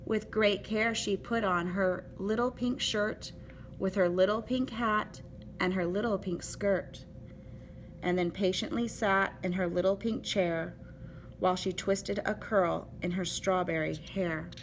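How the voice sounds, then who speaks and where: A woman reading a children's picture book aloud with an expressive, rhythmic delivery. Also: medium pitch, a little slow, a little hiss or hum, rough recording. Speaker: storybook reader.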